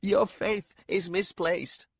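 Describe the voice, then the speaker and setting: A man's voice: sits high, steady tone, takes it slowly, husky, dry recording, pitched above the performer's own voice, exaggerated voice. A man voicing a young noblewoman who is tearful, insistent and defiant. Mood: upset. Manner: pleading, sharp and insistent.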